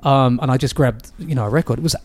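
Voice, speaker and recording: An Australian man in his fifties sits in a radio studio, spinning animated, self-deprecating anecdotes about his early broadcasting days. His voice is mid-pitched and conversational, never showy. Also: fast talker, no echo. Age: 50s. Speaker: radio DJ guest. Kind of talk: casual interview storytelling.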